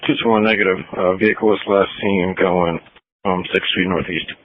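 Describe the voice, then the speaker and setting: A man's voice: deep voice, steady tone, fairly quick. A man working as a patrol officer, making call-ins from the field over police radio. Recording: thin phone-like police scanner audio.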